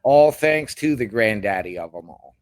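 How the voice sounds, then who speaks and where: A man co-hosting a wrestling podcast, talking in a relaxed, chatty way. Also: on the low side, normal speed, dry recording. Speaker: podcast co-host. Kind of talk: casual podcast chat.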